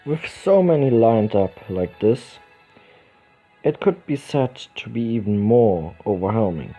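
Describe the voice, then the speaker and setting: Man, let's play reader, unhurried with lively intonation, stumbles now and then. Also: fairly low pitch. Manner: reads narration slowly with small stumbles and restarts. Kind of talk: reading a visual novel's text aloud. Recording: dull lo-fi recording with game music behind.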